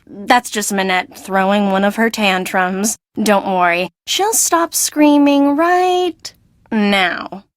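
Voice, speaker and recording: An actress playing a welcoming but harried young fashion assistant in a video game. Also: female voice, medium pitch, slow, no room sound, studio quality, natural voice. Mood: reassuring.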